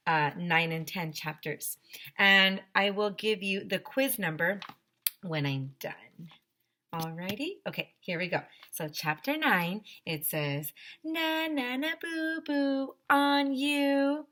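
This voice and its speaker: A woman teacher reading a children's storybook aloud, animated and emphatic, speaking directly to her young listeners. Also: on the low side, slow.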